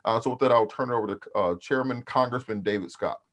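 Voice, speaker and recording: A man moderating a panel, introducing speakers and posing questions in a formal, slightly halting way. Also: medium pitch.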